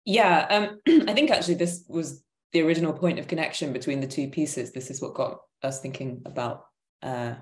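A woman's voice: sits low, normal speed. A woman in her thirties thinking aloud in an emphatic, searching way as a panelist on a video call. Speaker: panelist, theatre maker. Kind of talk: reflective conversational explanation. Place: indoor room at home, video call. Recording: roomy, echoing video-call sound.

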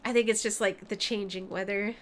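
A woman's voice: mid-range voice, steady tone, brisk, fairly bright tone, slight background noise. Stuffy-nosed, giggly woman streamer. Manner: giggly, apologetic. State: stuffy nose. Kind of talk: casual gameplay chat.

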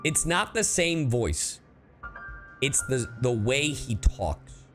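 A man's voice: medium pitch, sing-song, takes it slowly. A man giving casual livestream commentary on a game, playful, irreverent and sweary, with music behind him. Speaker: game streamer.

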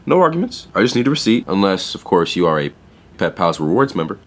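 An actor playing an earnest, deadpan young pet store clerk in a podcast play. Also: male voice, low voice, quick pace. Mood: deadpan, officious. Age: young adult.

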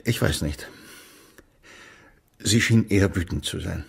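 A low-voiced male investigator in a German crime audio play. He is earnest and concerned, with a gravelly, husky edge to his words. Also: a little slow, exaggerated voice. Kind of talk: German radio crime drama acting.

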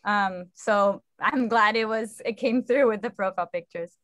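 A woman's voice: medium pitch, moderate pace, fairly bright tone, no echo, clean studio sound. A woman in her twenties, a director, talking casually and appreciatively on a video call. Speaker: play director. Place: indoor room on a video call. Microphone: computer video call.

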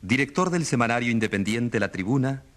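A man's voice: medium pitch, animated, brisk, fairly bright tone, lightly acted. A man acting a radio studio announcer, reading scripted profiles formally and with emphasis in a radio drama. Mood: formal, emphatic.

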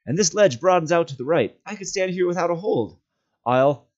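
A man's voice: high voice, animated, moderate pace, darkish tone, voice pushed up. A determined young hobbit adventurer, played by a man reading a storybook aloud. He speaks earnestly and with emphasis, like someone set on his course. The recording is slightly dull.

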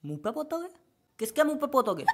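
A man's voice: extremely high pitch, sing-song, quick pace, slight background noise. A man voicing an exasperated, mocking shopkeeper in a comic cartoon. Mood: puzzled. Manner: mocking, argumentative. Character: sarcastic, exasperated male festival stall keeper. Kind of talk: comic Hindi cartoon dialogue.

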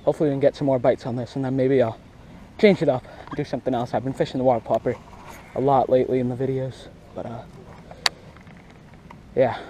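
A young man giving casual, slangy and excitable fishing commentary outdoors, recorded on a body-worn action camera. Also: mid-range voice, fast, slight background noise. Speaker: fishing vlogger. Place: outdoors beside a grassy pond.

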